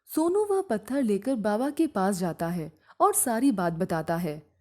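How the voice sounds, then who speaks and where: Female storyteller, unhurried and mellow, for scripted moral-tale narration. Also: medium pitch, big pitch swings.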